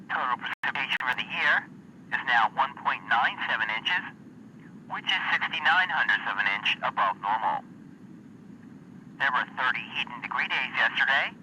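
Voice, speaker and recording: A synthesized male computer voice reading an automated climate report in a steady, mechanical delivery of figures. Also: mid-range voice, slight background noise, poor audio. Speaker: weather radio announcer.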